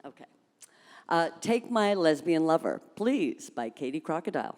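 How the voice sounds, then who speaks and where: A woman reading a humorous story aloud at a live event, expressive and dramatic, in a reverberant hall. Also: low-pitched, moderate pace. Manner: expressive, acts out dialogue.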